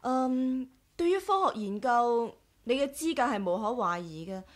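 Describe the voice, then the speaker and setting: A quick-witted, careful adventuress in a 1980s radio drama. Her voice sits high, with a Hong Kong Cantonese accent. She is a sharp-minded woman who weighs danger and duty. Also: animated. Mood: teasing. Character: sharp, resourceful adventuress, cautious and conscientious.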